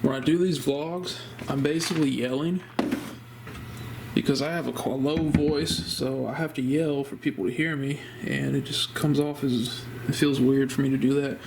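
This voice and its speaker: Male home vlogger, easygoing and low-key, mid-range voice, noticeable hiss behind him. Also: normal speed, vocal fry. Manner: relaxed, rambling, talking quietly instead of projecting. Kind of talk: casual home vlog chat. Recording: noticeable background noise.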